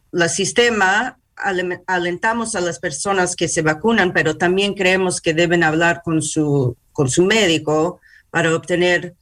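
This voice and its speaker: Slow, halting bilingual woman in an interview. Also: fairly low pitch, fairly bright tone. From New York, United States. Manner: halting, searching for words in Spanish, slips into English words. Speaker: hospital public relations manager, interview guest. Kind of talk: interview answers.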